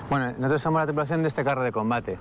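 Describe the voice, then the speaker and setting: A man's voice: medium pitch, rapid-fire. A man in his twenties with an accent from Spain, an army officer explaining things in an interview. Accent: Spanish from Spain. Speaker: army lieutenant, tank commander. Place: outdoors under an open tank hangar. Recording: faint outdoor background noise.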